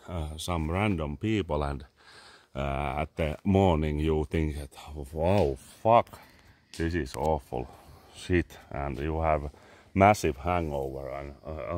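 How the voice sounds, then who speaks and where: Finnish man, 30s, very deep voice, unhurried halting english, lots of uh, swearing, phone outdoors. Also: a little mellow. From Finland. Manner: halting, many "uh" fillers, swearing, sipping a drink. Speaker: vlogger. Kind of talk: casual rambling selfie vlog. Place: garden among trees, outdoors. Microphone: phone held at arm's length.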